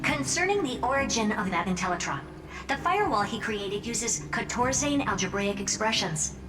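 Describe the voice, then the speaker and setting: Woman doing a smug genius lady from a game, blunt, bored easily, reverby, loud-ish music behind. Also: a little high, expressive, moderate pace, lightly acted. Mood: analytical. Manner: smug, blunt, matter-of-fact. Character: brisk, haughty genius woman, easily bored, self-assured. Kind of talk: video game voice acting.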